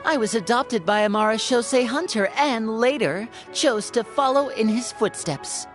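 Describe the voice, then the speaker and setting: A woman's voice: a little high, moderate pace, natural voice. A woman voicing a sly, easygoing young male wandering trickster in an anime game, casual and self-assured. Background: music.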